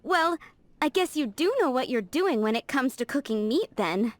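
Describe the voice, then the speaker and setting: An actress playing a proud, busy female cook in a game cutscene, brisk and blunt. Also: sits high, animated, no echo. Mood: curious. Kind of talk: video game cutscene dub.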